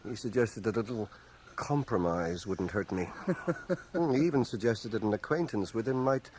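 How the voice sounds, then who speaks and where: An actor playing a reserved, dry-witted schoolmaster of about sixty in a radio drama, speaking in a measured, understated way. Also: male voice, on the low side, a little mellow, natural voice. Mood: wry. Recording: faint hiss, home cassette radio transfer.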